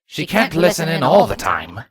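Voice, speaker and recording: A man's voice: a little high, wildly swooping pitch, normal speed, breathy edge, no room sound, clean studio sound, voice pushed up, heavily acted. A man voicing a stern, loyal changeling soldier who is proud and grieving, in a storybook reading. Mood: defensive.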